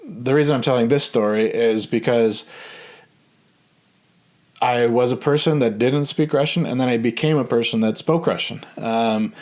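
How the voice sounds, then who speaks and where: Man in his thirties, hesitant storyteller, mid pitch, frequent fillers and restarts. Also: a little mellow. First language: English. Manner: hesitant, many ums and restarts. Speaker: vlogger. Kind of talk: casual personal storytelling.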